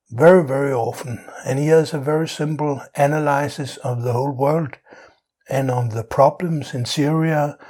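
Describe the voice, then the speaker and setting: Slow-paced Danish man giving political commentary. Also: a little high, dark tone. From Denmark. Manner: halting delivery with non-native English phrasing. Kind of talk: opinionated political monologue.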